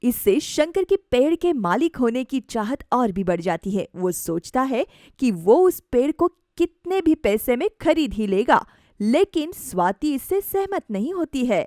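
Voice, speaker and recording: A woman storyteller with a fairly high voice narrates a scripted moral tale for a cartoon. The sound is close and dry, with no room echo. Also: very animated, unhurried, mellow.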